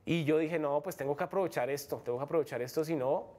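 A man's voice: a little high, fast, a little mellow. A young Colombian man with a Bogotá accent talks earnestly and frankly, his slightly raised voice stumbling over restarts and fillers. He sits as a guest on a studio set, picked up by a lapel mic. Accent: Bogotá Colombian Spanish. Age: young adult. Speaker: MMA fighter guest. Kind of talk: casual interview talk.

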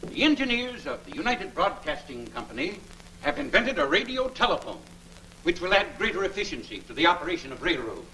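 Middle-aged railroad boss, 1940s serial: bright, higher voice, formal, earnest, old soundtrack. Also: male voice, big pitch swings, fairly quick, full character voice. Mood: proud, businesslike. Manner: formal, declamatory. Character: earnest male railroad executive, proud and excitable. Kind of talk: 1940s film serial acting. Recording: slightly noisy old film soundtrack.

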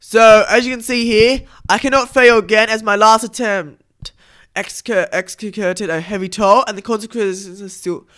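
High-pitched young-sounding male video commentator. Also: steady tone, normal speed, a bit bright. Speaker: gaming video host. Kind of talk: casual commentary over game cutscenes.

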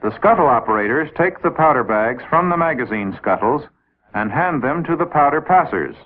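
A man's voice: medium pitch, expressive, normal speed, a little hiss or hum. An American man narrating a 1950s military training film in a methodical, explanatory manner, addressing trainees directly. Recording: old 1950s film soundtrack, tinny narrow band.

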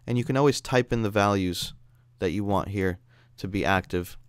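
A man's voice: fairly low pitch, normal speed, clean tone. A man narrating an instructional screencast in a conversational, explanatory way. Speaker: audio software instructor.

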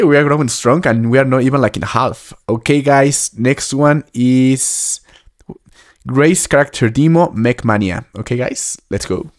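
A man in his twenties, a native Spanish speaker, giving casual reaction commentary in English as a video host. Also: mid-range voice. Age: 20s. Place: small home room. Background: trailer music.

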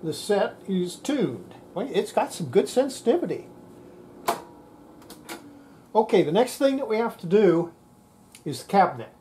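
An older radio repairer talks casually through hands-on work in a workshop. The voice sits at a middling pitch but moves up and down a great deal, in a folksy way. The camera audio carries clear noise and clicks. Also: sing-song, a little slow, pauses often, warm tone. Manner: folksy, talking while working. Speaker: radio repairer presenting a restoration. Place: indoor workshop.

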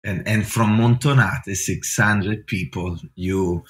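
Bright-toned Italian man hosting a podcast. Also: lowish voice, slow pace. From northern Italy. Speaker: podcast host. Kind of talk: podcast interview. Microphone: headphones on video call.

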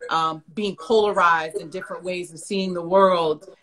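A woman in her thirties from New York City with a New York accent, hosting a video-call interview in a reflective, measured way. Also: fairly low pitch, fairly even intonation, crowd noise, rough recording. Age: 30s. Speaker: host. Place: living room with plants.

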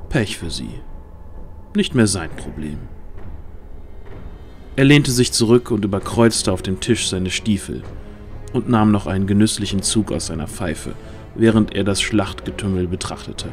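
A man's voice: fairly low pitch, lively intonation, measured pace, pauses often. A man narrating a fantasy audio drama in a dramatic, scripted storytelling style. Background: music.

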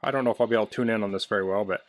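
A man's voice: lowish voice, fairly even intonation, racing. Man giving a casual, practical product demo. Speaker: product reviewer. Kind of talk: casual hands-on product demonstration. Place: basement, indoors.

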